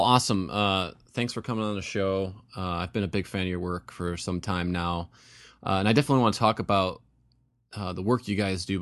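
A male podcast host with a lowish voice, asking his guests questions in a relaxed, easygoing way. He pauses and restarts often, filling the gaps with small hesitations. The sound is dry and close, with no room around it. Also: dry recording. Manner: curious, halting, with many fillers. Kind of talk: casual interview.

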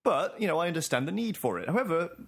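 A man's voice: a little high, very animated, fast talker, breathy edge. A man co-hosting a casual podcast chat, wry and laughing through his words. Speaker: podcast co-host.

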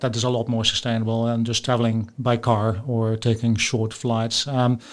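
A man's voice: medium pitch, little pitch movement, moderate pace. A man from the Netherlands with a Dutch accent, hosting a podcast in a casual, chatty interview style and asking questions with curiosity. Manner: inquisitive, chatty. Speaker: podcast host.

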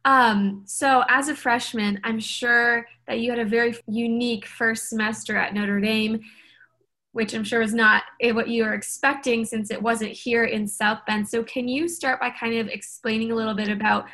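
Young female podcast host, upbeat and encouraging, slightly high voice with a gravelly edge. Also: little pitch movement, moderate pace, bright, forceful tone. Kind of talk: interviewing students.

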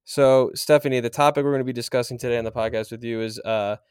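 A man hosting an interview podcast, talking in a relaxed, friendly way with frequent fillers. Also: medium pitch, quick pace, smooth, dry recording, clean studio sound. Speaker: podcast host.

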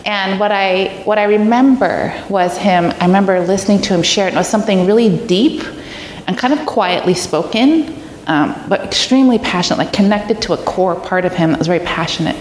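A woman's voice: medium pitch, a little fast. A middle-aged woman, a guest preacher, speaking in an informal, earnest, conversational way with personal anecdotes. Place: large echoey church room, live audience. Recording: echoey hall, clear background noise.

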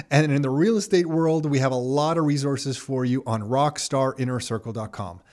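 A man's voice: medium pitch, darkish tone. A man hosting a podcast, enthusiastic and a bit rambling, with restarts as he talks. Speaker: podcast host. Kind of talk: casual interview and ad read.

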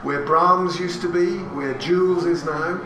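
A man's voice: fairly high pitch, fairly even intonation, a little slow. Chatty man addressing an audience in an echoey hall. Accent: English. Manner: chatty and anecdotal, with asides and questions to the audience. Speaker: speaker giving a talk. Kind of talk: talk to a live audience about family history. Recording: echoey room recorded from a distance, with audience noise.

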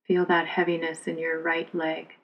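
A woman yoga instructor leading a guided relaxation meditation in a calm, soothing, unhurried manner. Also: low voice, highs cut off.

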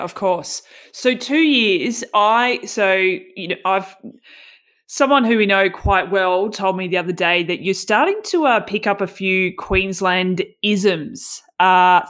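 A woman's voice: mid-range voice, a bit bright. Chatty woman interviewer, rambling questions. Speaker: interviewer and race commentator. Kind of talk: casual interview.

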